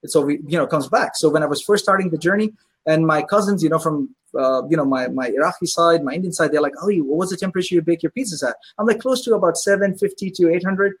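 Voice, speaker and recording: Husky-voiced male restaurant owner, guest on an interview podcast, upbeat storyteller. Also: on the high side, fast. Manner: enthusiastic storyteller, acts out customers' voices. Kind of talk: casual interview chat.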